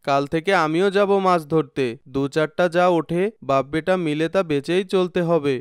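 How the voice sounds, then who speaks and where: A man's voice: on the high side, normal speed, clear, resonant tone, no room sound, clean studio sound, lightly acted. A man voicing a complaining young village son and older brother in a cartoon. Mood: worried. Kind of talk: cartoon dubbing.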